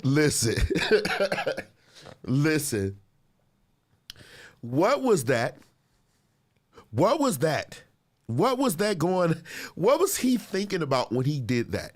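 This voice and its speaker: A man's voice: on the high side, takes it slowly, long pauses. A man who is a gospel musician and producer, hosting casual reaction commentary, chatty and repeating himself for emphasis. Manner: animated, chatty, laughs often; talks straight to viewers, repeats himself for emphasis. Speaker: host reacting to clips.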